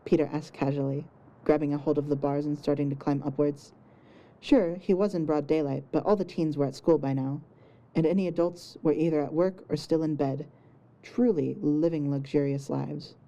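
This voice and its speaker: A woman's voice: low voice, fairly even intonation, normal speed, warm tone, highs cut off. A woman reading scripted fiction narration as the storyteller of an audio story.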